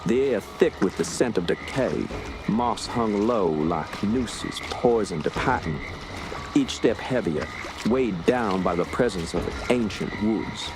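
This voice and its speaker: Composed, commanding Southern police inspector. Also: male voice, on the low side, lively intonation, slow pace. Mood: grim, foreboding. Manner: composed, authoritative. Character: steady, commanding police inspector recounting a grim case. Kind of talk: radio drama narration and dialogue. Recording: music under the voice.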